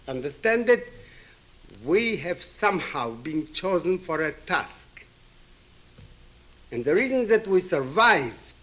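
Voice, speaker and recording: A man in his seventies from Ukraine who grew up with Hebrew and Yiddish delivers a reflective address. His voice sits fairly high for a man and moves very slowly. He is emphatic, repeating key phrases and posing questions to his listeners. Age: 70s. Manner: emphatic, with rhetorical questions and repetition.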